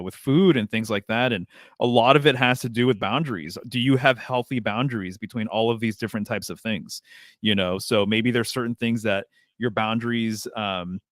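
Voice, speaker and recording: Low-voiced man musing as guest. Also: dry recording, studio quality. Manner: reflective, explaining with filler uhs. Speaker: podcast guest, tarot reader. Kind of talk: casual conversational podcast chat.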